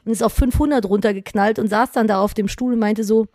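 A slightly hoarse woman chatting with her husband on their podcast, asking question after question. Her voice sits at an ordinary pitch and sounds close and dry. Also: steady tone, fast, a little mellow, dry recording. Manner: chatty, asks lots of questions. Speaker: podcast co-host. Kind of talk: casual chat between spouses.

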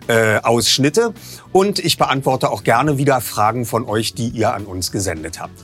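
A man presenting as a host in a scripted podcast style. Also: medium pitch.

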